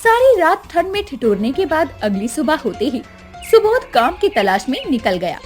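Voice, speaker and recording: A woman tells a cartoon story in a high, lively voice. Her scripted narration is dramatic, and music plays clearly behind her. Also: moderate pace.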